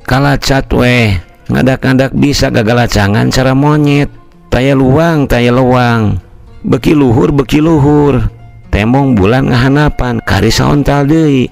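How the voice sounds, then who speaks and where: A man's voice: mid-range voice, a little slow, gravelly, darkish tone, soft background music. A man with a Sundanese accent narrating a scripted folk tale as a traditional storyteller.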